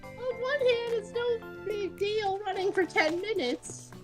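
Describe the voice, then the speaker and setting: A woman voicing an excitable, pizza-obsessed game narrator in a silly high voice, rambling along earnestly. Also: unhurried, music in the background. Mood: earnest musing. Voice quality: very high-pitched, silly put-on voice. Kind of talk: silly character read-aloud of a visual novel.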